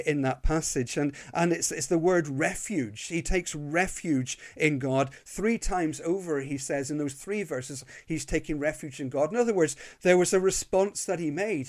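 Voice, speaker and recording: A man's voice: a little high, darkish tone. A man with a Northern Irish accent preaching an earnest sermon, often repeating words as he starts a phrase. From Northern Ireland.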